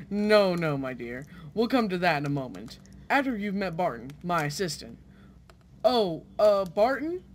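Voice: mid-range voice, big pitch swings, measured pace. An actor playing a frail, kindly, eager old male professor in an amateur old-time radio drama. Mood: fond, eager. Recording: clearly noisy recording with clicks.

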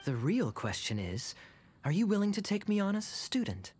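A man playing a suave young rogue in an anime game, smooth-talking, sly and teasing. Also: high voice, wildly swooping pitch, normal speed, a little mellow, slight background noise. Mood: sly, smug. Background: music.

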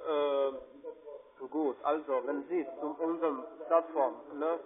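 A man with a fairly high voice and a foreign accent talks over a tinny phone line. He works through a call center sales pitch haltingly, often repeating himself. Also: steady tone, crowd noise. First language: not German. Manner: hesitant, repetitive. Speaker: call center investment agent.

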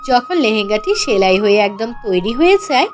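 A woman's voice: mid-range voice, very animated, brisk. A woman narrating a scripted cartoon fairy tale as the storyteller. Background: faint music.

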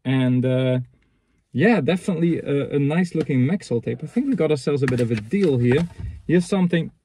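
A man in his thirties chatting casually and unscripted in a halting, matter-of-fact way as he thinks aloud, recorded inside a parked car. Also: a little high, lively intonation, warm tone, a little hiss or hum. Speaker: vlogger reviewing secondhand reel-to-reel tapes. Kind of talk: casual unscripted chat, commenting on items as he handles them.

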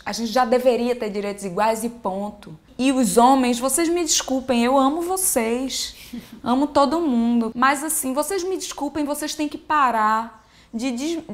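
A young woman from Pernambuco with a Pernambuco accent, chatting animatedly as an interview guest while seated on a sofa in a sunny living room. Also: fairly high pitch. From Pernambuco, Brazil. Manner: animated, expressive, gestures while talking. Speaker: singer-songwriter guest. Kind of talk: casual interview chat.

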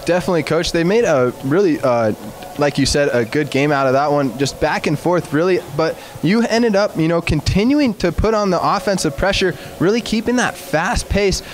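A man's voice: a little high, animated, moderate pace, a little hiss or hum. A young man interviewing a coach after a game in an eager, upbeat way with frequent fillers. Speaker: sports interviewer. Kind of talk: postgame interview.

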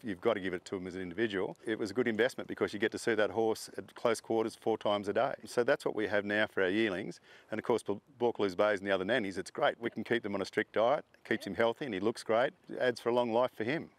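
Man, middle-aged, lowish voice, relaxed, many ums and restarts. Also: fast. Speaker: horse farm owner. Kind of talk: interview, casual storytelling.

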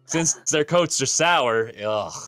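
A man's voice: a little high, lively intonation, takes it slowly, fairly bright tone, dry recording, soft background music. A man reading aloud playfully among friends in a word game, prone to breaking into laughter.

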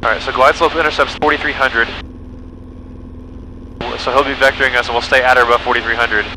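A man's voice: medium pitch, fairly even intonation, fast. A young man chatting casually about the flight as a pilot on an aviation headset. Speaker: pilot talking in the cockpit. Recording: clear aircraft engine noise, intercom audio.

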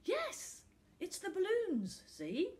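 A woman's voice: very high voice, huge pitch swings, slow, darkish tone, pitched above the performer's own voice, full character voice. A woman voicing an earnest young boy, pleading insistently in an audiobook story. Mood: frantic. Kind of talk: audiobook character acting.

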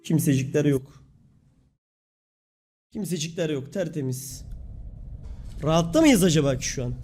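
A man live streaming games with excitable, sweary commentary, often repeating his words. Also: fairly high pitch, normal speed, slight background noise. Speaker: game streamer.

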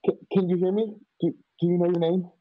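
An earnest young cowboy, acted by a man, high-pitched and mellow with a husky edge. He stammers gently through a radio western in rustic speech. The audio is dull, like a video call. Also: flat delivery, fairly quick, no echo. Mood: urgent, soothing. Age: young adult. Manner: stammering, hesitant. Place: home room on a video call.

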